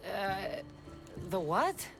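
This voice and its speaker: Wry, animated game heroine. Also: female voice, medium pitch, very slow, creaky, over music, heavily acted. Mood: puzzled, dry. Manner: wry, direct. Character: plain-spoken, wry Greek heroine, principled and impatient. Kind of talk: video game cutscene acting.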